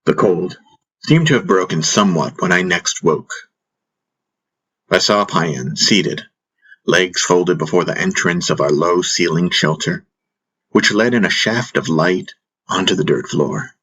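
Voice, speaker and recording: A man's voice: deep voice, animated, unhurried, a bit bright, breathy edge. A man narrating a fantasy audiobook in the first person, in a solemn, dramatic manner.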